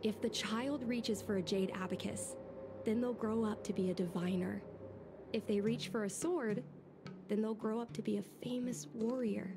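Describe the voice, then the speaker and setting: A woman voicing a spirited young fox-woman in a video game, earnest and expressive. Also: medium pitch, moderate pace, over music, natural voice. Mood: explaining.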